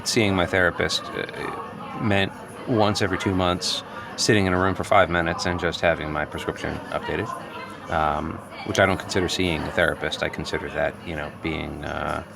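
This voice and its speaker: A man's voice: deep voice, steady tone, moderate pace, creaky, crowd noise. An actor playing a neurotic, agitated office worker in his late thirties, wordy and hesitant, justifying himself point by point in improvised audio comedy. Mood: defensive, resigned. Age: late 30s. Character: neurotic, agitated office worker newly rejecting social norms.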